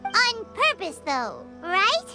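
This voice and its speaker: Tiny fairy game companion: very high, squeaky childlike voice, wildly animated, curious, over music. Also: female voice, slow, full character voice. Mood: questioning. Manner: refers to itself by name, exclamatory. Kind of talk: video game voice acting. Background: children's style game music.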